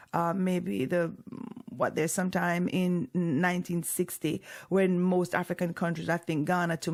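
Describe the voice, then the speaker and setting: A woman's voice: lowish voice, darkish tone. A woman from Jamaica, hosting a call-in radio interview and talking hesitantly, with many fillers and restarts. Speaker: radio show host.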